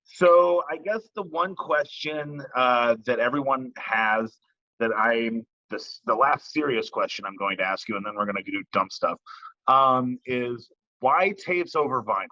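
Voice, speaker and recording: A man's voice: mid-range voice, a little slow, sharp tone, no echo. A man hosting a casual interview in a conversational style, heard on a somewhat lo-fi recording. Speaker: interviewer, host. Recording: dull lo-fi, dry close sound.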